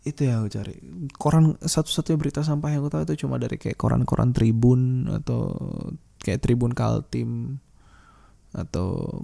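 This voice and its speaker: A man's voice: mid-range voice, normal speed, gravelly, pauses often, warm tone. A man hosting a solo podcast, talking in a casual, slangy, rambling way and thinking aloud with hesitations.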